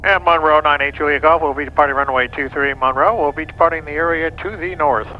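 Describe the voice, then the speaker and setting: A man's voice: on the high side, fairly even intonation, fast. A man working as a pilot, making radio calls and talking through checks in a small airplane cockpit. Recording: dull cockpit audio, engine noise.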